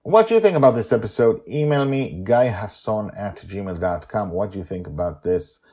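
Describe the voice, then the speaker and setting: A man's voice: lowish voice, expressive, moderate pace, mellow. A man hosting a story podcast, narrating in a dramatic, emphatic way. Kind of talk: expressive scripted story narration and podcast talk.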